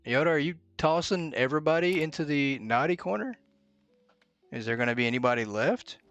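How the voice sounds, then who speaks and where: A man's voice: on the high side, lively intonation, brisk, fairly bright tone, no echo, highs cut off, soft background music. Man thinking aloud while streaming a game. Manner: thinks aloud, mutters to himself. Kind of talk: live gameplay commentary.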